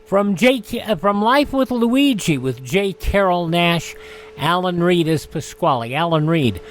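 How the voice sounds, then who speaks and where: A male radio host reading a history rundown in a measured way with wry asides. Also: high voice, expressive, music in the background.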